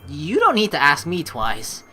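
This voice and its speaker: A woman plays through a visual novel in a bright, forceful voice that swings widely in pitch. She is teasing and giggly, switching between commentary and reading the text aloud, with game music behind her. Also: huge pitch swings, unhurried. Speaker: let's player.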